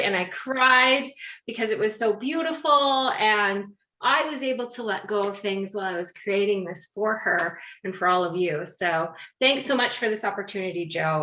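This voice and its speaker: A woman's voice: medium pitch, sharp tone, slight background noise. A woman speaking casually as a guest illustrator, heartfelt and appreciative, in a muffled, echoey recording. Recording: muffled, echoey room audio.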